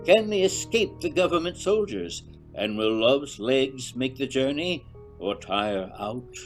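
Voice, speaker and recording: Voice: medium pitch, expressive, unhurried, soft background music, low-quality recording. A narrator reading a scripted radio serial in a melodramatic storytelling style.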